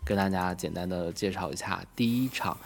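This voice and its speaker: A man's voice: deep voice, fairly quick, gravelly. A man hosting a casual live event, explaining things politely. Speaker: host of a live fan event.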